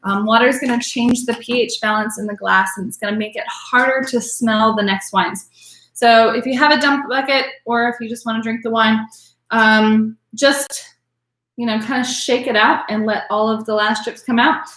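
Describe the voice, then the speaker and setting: A woman's voice: a little high, fairly even intonation, normal speed, fairly bright tone, echoey room, rough recording. Chatty woman teaching wine. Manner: chatty, filler-heavy, addresses attendees by name. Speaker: wine class instructor. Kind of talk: casual online class teaching.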